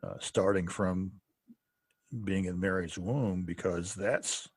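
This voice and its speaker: A man with a low, husky voice talks through ideas as a podcast co-host, pausing often and restarting his sentences. The sound is close and dry, with no room around it. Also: fairly even intonation, dry recording. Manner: halting, full of restarts and "uh". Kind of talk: casual theology discussion.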